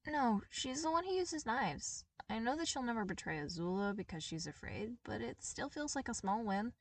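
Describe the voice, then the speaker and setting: Woman playing an awkward teenage boy, anxious, hesitant, apologetic, wounded, pitched up, storybook character, a bit off the mic. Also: lively intonation, normal speed, recorded from a distance. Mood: guarded, wistful. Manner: nervous, hesitant.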